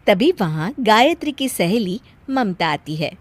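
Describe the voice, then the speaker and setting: A woman's voice: medium pitch, sing-song, measured pace, heavy background noise. A woman reading scripted narration for a story as its narrator.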